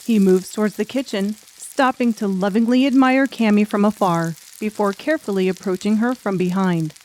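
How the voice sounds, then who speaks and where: A woman's voice: mid-range voice, normal speed, a little mellow, low-quality recording. A woman reading scripted third-person narration for an audiobook story.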